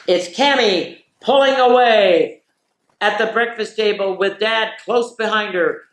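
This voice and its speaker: A woman's voice: mid-range voice, a bit bright. A woman hosting a children's story time, reading picture books aloud and adding chatty little asides. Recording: echoey, roomy sound.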